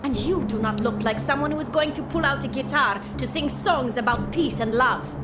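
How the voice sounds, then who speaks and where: An actress playing a menacing, contemptuous street guard in a video game, curt and commanding. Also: female voice, high voice, moderate pace, lightly acted. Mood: hostile, threatening. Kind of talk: video game cutscene acting. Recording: loud music behind. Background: music.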